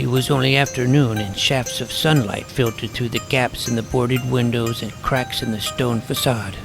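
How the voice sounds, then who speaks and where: A man's voice: medium pitch, vocal fry, natural voice. A man playing an earnest, imaginative boy detective, narrating his own case in wordy, mock-serious audio drama style. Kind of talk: scripted first-person narration with dialogue.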